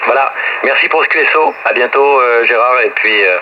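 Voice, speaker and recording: A man's voice: medium pitch, a little fast. A man heard over a muffled, noisy shortwave radio, chatting casually and a little hesitantly as a ham operator. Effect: shortwave radio transmission.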